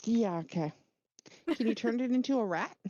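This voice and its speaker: A woman's voice: a little high, expressive, normal speed, warm tone, no room sound. Woman on a dull, dry mic. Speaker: player in a tabletop game. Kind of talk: casual game chat.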